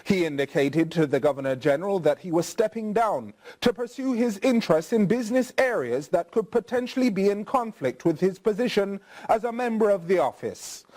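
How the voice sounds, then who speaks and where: A Jamaican man anchors the news in a fairly high voice. His pitch moves widely as he delivers the scripted items in a formal news-reading style. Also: darkish tone.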